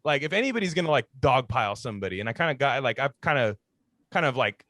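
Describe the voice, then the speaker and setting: A man talks casually as a podcast co-host, his pitch jumping around with plenty of animation. His language is slangy and sweary, and the sound is dry and close, with very little room. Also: sing-song, fast talker, sharp tone, no echo. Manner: slangy, profane.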